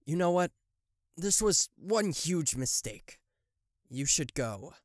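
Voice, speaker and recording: Man acting a slow-talking possessive demon CEO. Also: fairly high pitch, sing-song, no echo, clean studio sound. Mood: indignant. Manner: theatrical, condescending, deliberate. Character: possessive, smug male TV-headed demon tech CEO. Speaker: voice actor. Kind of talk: yandere ASMR roleplay addressed to the listener.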